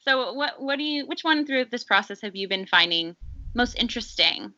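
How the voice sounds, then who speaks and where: A woman's voice: fairly high pitch, lively intonation, normal speed, bright, forceful tone, no echo. A woman moderating a casual discussion, putting questions to the others. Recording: dry, slightly dull lo-fi audio.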